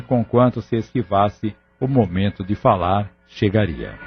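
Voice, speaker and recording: Low, husky male audio novel narrator. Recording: muffled lo-fi audio drama recording. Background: background music.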